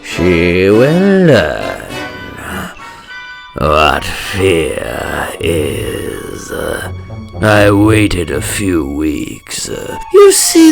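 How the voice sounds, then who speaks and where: A man voicing a sadistic, theatrical supervillain obsessed with fear, gravelly and menacing, in a comic dub. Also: on the low side, exaggerated voice. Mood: bitter menace, then gloating. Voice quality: gravelly, creaky. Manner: slow, menacing, theatrical, savouring words. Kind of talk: comic dub villain monologue. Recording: orchestral score behind voice.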